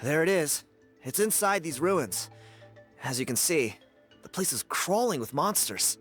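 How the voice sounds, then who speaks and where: An eager young male adventurer talks in a friendly, colloquial way with very lively pitch movement. He sounds like a talkative video game character, with light music underneath. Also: measured pace. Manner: animated, colloquial.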